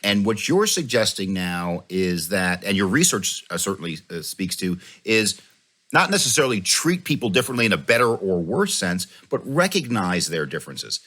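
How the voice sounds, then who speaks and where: A middle-aged man interviewing a guest, asking thoughtful, measured questions with frequent hesitant fillers. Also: low-pitched, big pitch swings, a bit bright. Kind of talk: conversational interview.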